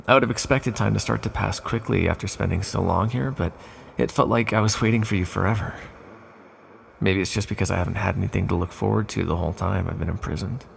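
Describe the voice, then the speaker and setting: Man voicing a gravelly, low ancient villain. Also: slight background noise. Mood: wistful. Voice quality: deep, gravelly, husky. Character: ancient imprisoned villain entity, condescending yet lonely and flirtatious. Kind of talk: ASMR roleplay monologue to the listener.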